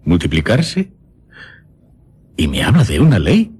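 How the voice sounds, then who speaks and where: An actor playing an astonished, inquisitive middle-aged gentleman in a radio drama, with a very husky voice. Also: low-pitched, wildly swooping pitch, unhurried, full character voice. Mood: astonished, curious. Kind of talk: Spanish radio drama acting.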